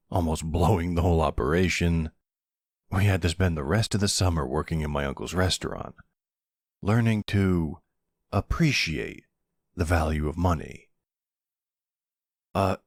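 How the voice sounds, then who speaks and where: Man playing a deep, gravelly flirty mafia boss. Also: no room sound, clean studio sound, full character voice.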